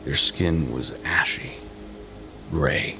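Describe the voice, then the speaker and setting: A man narrating a scripted first-person horror story in a slow, suspenseful, deliberate and dramatic way, with a gravelly voice. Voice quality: very deep, gravelly. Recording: loud ambient music bed under voice.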